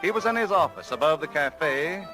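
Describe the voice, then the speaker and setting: A male announcer narrates in formal 1940s radio style over clear music. His voice sits on the higher side, on a vintage broadcast recording. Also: expressive, normal speed.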